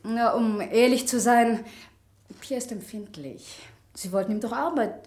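An actress playing a friendly, trusting innkeeper's wife, chatty and coaxing, in a vintage radio crime drama. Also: female voice, fairly high pitch, measured pace, close to an everyday voice. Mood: chatty, apologetic. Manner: bright-spirited, coaxing, hospitable. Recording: echoey vintage radio play studio.

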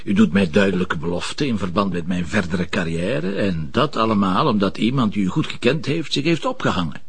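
An actor playing a gruff, stubborn police commissioner in a TV drama, with a Flemish Belgian accent and a gravelly voice. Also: male voice, fairly low pitch, expressive, brisk, exaggerated voice. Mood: probing, calm. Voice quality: gravelly, husky. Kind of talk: naturalistic TV drama acting.

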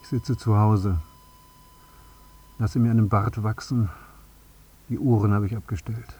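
An actor playing a bereaved, broken man in a radio drama monologue, speaking in stark, deliberate poetic phrases with a husky voice. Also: fairly low pitch, steady tone, dark tone, a little hiss or hum. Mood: numb grief. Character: grieving bereaved father, broken, obsessive, demanding an apology.

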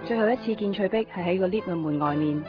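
An actress playing a blunt but caring, talkative young friend in a 1990s radio drama, with a Hong Kong Cantonese accent. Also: female voice, mid-range voice, quick pace, close to an everyday voice. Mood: wistful. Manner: teasing, chatty, blunt. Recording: dull 1990s radio recording, some music.

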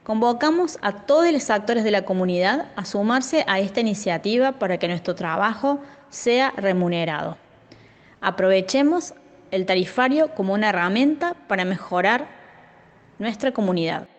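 Brief: woman, mid-pitch, firm emphatic advocacy statement. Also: lively intonation, normal speed. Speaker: visual artist advocate. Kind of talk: reading a statement, then speaking freely.

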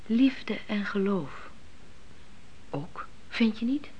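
An actress playing a thoughtful, probing young wife in an intimate radio drama conversation. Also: female voice, mid-range voice, animated, slow pace, dark tone, lo-fi sound, a little hiss or hum.

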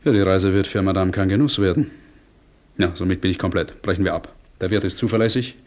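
An actor playing a brisk, discreet British naval commander in a German radio play, businesslike and dryly urbane. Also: male voice, low-pitched, a little mellow, lightly acted. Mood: brisk, conspiratorial.

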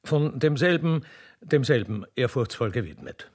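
A man's voice: mid-range voice, animated, moderate pace. A man narrating a radio play in a theatrical, mock-solemn and ceremonious way.

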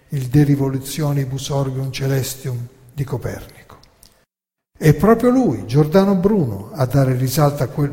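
A man narrating history as scripted dramatic storytelling, in a theatrical, measured way with rhetorical pauses and emphasis. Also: mid-range voice, mellow. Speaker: narrator and author of a radio theatre monologue. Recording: long reverberant hall sound.

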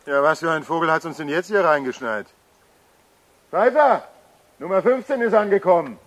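A man's voice: a little high, lively intonation, normal speed, a bit bright. An actor playing a sarcastic, menacing German army lieutenant in a radio play, mocking and bullying as he talks. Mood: mock friendly.

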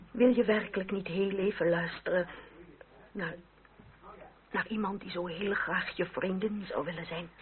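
An actress playing an older noblewoman, wistful and pleading, in a period radio play, halting and intimate. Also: lowish voice, close to an everyday voice. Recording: tinny narrowband old radio drama, faint noise.

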